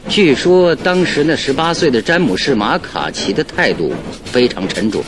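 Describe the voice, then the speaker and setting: An actor playing a confident male detective in a radio drama, reasoning out a case with deliberate, theatrical authority. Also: expressive, lightly acted. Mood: measured reasoning. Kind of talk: Mandarin radio drama acting. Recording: noisy old radio drama, train rumble behind.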